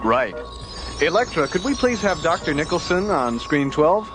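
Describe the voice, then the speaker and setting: An actor playing a brisk, efficient space station control operator in a radio drama. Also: male voice, fairly high pitch, sing-song, over loud music. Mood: friendly, efficient. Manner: procedural, efficient.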